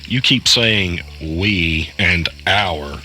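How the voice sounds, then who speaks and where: Skeptical everyman, low and edgy , acted by a man. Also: drawn-out words, pushed and bright, a little hiss or hum, exaggerated voice. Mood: pressing, doubtful. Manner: doubtful, hesitant, curt questioning. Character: skeptical, rattled everyman player caught in conspiracy. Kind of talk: audio drama dialogue and first-person narration.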